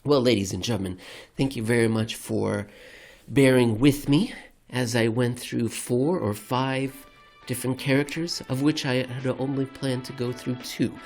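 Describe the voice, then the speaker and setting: A man narrating a scripted commentary with deliberate, somewhat theatrical phrasing. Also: medium pitch, steady tone, darkish tone, faint music behind. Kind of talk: scripted reading of economic commentary.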